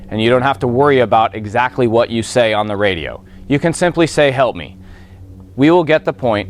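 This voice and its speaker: A man in a coast guard role giving prepared safety advice in a measured, formal and reassuring manner. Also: mid-range voice, a little hiss or hum. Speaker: coast guard member being interviewed.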